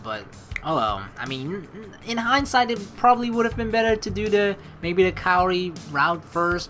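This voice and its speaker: A woman hosting a let's play, reading game narration aloud and adding chatty, rambling commentary in an expressive way. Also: low voice.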